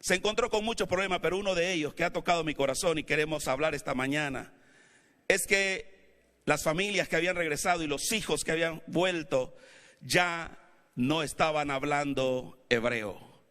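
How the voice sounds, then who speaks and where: A male pastor with a Latin American Spanish accent, not Mexican, preaching a sermon in a church and building up to shouted calls to the congregation. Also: a little high, moderate pace. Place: church sanctuary. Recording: long hall reverb.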